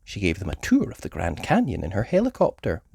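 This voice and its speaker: A woman reading a children's story aloud in an animated storytelling style. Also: rumbling low, brisk, creaky, husky, no room sound, clean studio sound.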